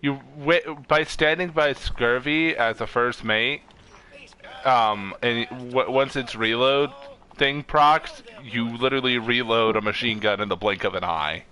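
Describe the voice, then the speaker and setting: A man chatting casually while streaming an online game, excitable and given to swearing. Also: medium pitch, a little slow. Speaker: gaming streamer. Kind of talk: casual chat while playing a co-op online shooter.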